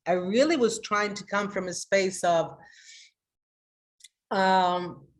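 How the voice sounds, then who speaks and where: Energetic woman coach hosting a live talk. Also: medium pitch, slow pace. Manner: energetic and emphatic, with many restarts. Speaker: life coach and trainer. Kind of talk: live motivational talk to an online group.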